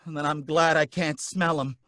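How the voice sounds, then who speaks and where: An actor playing a nervous, stammering cab driver who turns out to be a ghost, in an old-time radio drama. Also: male voice, on the high side, fairly even intonation, moderate pace, sharp tone, dry recording, clean studio sound. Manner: folksy, anxious, stammering.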